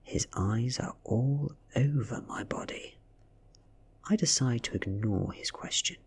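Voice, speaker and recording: A man with a British accent softly reading a story as ASMR narration, breathy and near a whisper. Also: medium pitch, takes it slowly. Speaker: audiobook reader.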